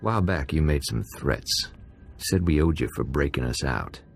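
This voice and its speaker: A man playing a tough, laconic, suspicious prison gang convict in a game, gruff, clipped and wry. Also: extremely low pitch, big pitch swings, normal speed, soft background music, exaggerated voice. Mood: wary, blunt. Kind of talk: game cutscene voice acting.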